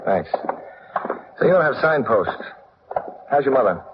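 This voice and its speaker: Wisecracking gentleman sleuth, mid-pitched, dry and cool, vintage radio drama with muffled sound. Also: very animated. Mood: wry, cool. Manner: dry, flippant, unhurried. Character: suave, unflappable, wisecracking gentleman amateur detective. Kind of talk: 1940s radio acting. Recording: muffled old radio recording, faint hiss.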